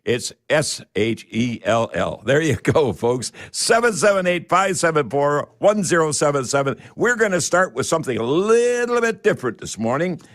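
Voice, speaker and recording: A man's voice: fairly high pitch, very animated, normal speed, hardly any pauses, fairly bright tone, dry recording, studio quality. Folksy man hosting radio. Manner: folksy, repeats catchphrases and numbers. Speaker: radio show host. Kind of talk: live radio call-in show hosting.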